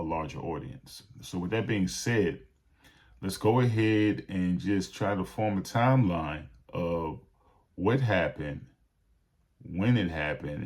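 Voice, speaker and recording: A man hosting a show of casual sports commentary, talking straight to viewers in a deliberate, halting way. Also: low-pitched, a little mellow. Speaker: host.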